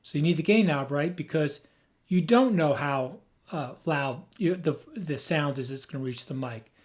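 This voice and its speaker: A man who has lost his voice, hoarse, giving an emphatic, rambling off-the-cuff tech explanation as a video host. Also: a little high, normal speed. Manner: emphatic, rambling, with frequent restarts.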